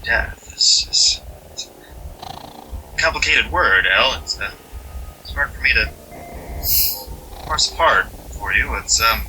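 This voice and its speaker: A man playing an otherworldly trickster in a gentle dead husband's guise, folksy and hesitant with long pauses, in an audio drama. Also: mid-range voice, animated, full character voice, rough recording. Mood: searching, patient. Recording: faint background noise.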